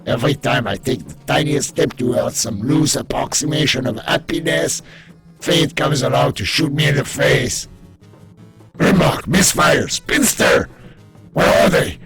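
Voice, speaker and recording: A man's voice: mid-range voice, measured pace, vocal fry. A man voicing characters in a hobby comic dub, theatrical and exclamatory, with some sound effects added. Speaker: amateur voice actor dubbing a comic. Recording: sound effects and faint music behind.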